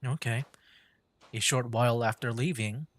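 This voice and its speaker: A man's voice: medium pitch, fairly even intonation, normal speed, fairly bright tone. A man streaming a video game playthrough in a casual style, speaking into a close mic.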